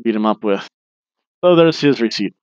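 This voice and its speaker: A man's voice: medium pitch, lively intonation, moderate pace, smooth, dry recording. Man, forties, chatty opinionated recap host, headset mic, dry dull home sound. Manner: chatty and opinionated, bursts into loud mock booing. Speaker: wrestling review host. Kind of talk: casual recap commentary. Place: small home room with bookshelves, indoors. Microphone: headset mic on video webcam.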